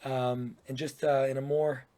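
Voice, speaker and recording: A man's voice: medium pitch, level tone, a little slow, warm tone. A man in his thirties from Puerto Rico, a business owner chatting easily as an interview guest, laughing often and using plenty of fillers. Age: 30s. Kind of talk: casual interview chat.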